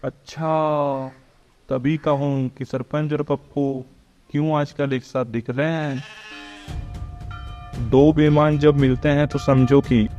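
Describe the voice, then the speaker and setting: A man's voice: fairly high pitch, flat delivery, takes it slowly, pauses often, warm tone. A man voicing a kindly, righteous village priest in a cartoon moral story. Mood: knowing. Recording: dull lo-fi audio with music behind.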